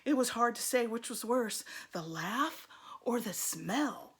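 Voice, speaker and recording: A woman teacher reading a children's storybook aloud, expressively and with deliberate dramatic emphasis. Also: on the high side, expressive, a bit bright, breathy edge.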